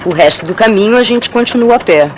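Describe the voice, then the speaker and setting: An actress playing an Amazon-born guide in an audio drama, wistful, playful and sincere, with a Brazilian accent. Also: female voice, a little high, animated, fast, slight background noise, close to an everyday voice. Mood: helpful. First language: Portuguese.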